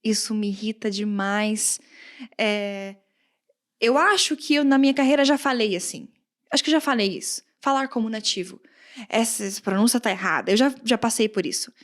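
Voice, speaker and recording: A woman from Brazil with a countryside accent, a guest English teacher chatting casually on a podcast, animated, often laughing and acting out quoted comments. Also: medium pitch, moderate pace. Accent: Brazilian Portuguese, countryside.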